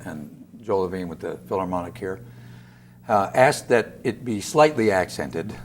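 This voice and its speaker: A man, an actor being interviewed, talking conversationally in thoughtful, halting phrases. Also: low voice. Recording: faint background noise, room ambience.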